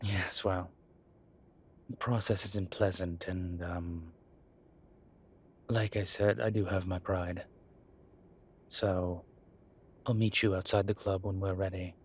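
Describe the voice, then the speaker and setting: Casting: male actor as sardonic incubus demon professor for audio roleplay, very low and slow, guarded but playful. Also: steady tone, exaggerated voice. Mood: guarded, reluctant. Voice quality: low, husky voice. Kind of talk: roleplay audio drama, speaking to an unseen listener.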